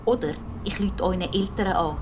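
A woman's voice: mid-range voice, normal speed, close to an everyday voice. A woman with a Swiss German accent voicing a stern ticket inspector who lectures children. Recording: noisy vehicle ambience.

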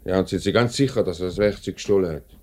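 A very low-voiced Swiss German company director in an old radio drama. He is deliberate and questioning, a man in charge who is reluctant to be hard. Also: darkish tone. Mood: doubtful. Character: lenient, hesitant company director, middle-aged man in charge. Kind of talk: 1950s radio play acting.

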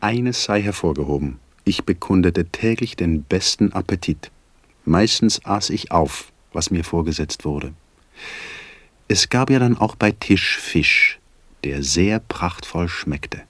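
A man reciting literary prose in a formal, mock-solemn, measured manner. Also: low voice, animated, fairly bright tone, dry recording.

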